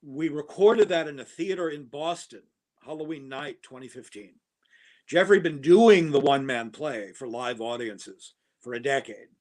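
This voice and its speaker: Opinionated man with a fairly high voice. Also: moderate pace, a little mellow. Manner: opinionated, holding forth on a pet topic. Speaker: podcast guest, audio drama producer. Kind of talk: casual podcast conversation.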